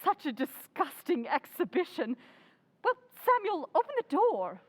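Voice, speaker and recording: Bossy society wife for radio play: high, shrill, wildly animated scolding, snobbish and indignant. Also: female voice, unhurried, full character voice. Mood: indignant, haughty. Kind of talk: old-time radio drama acting.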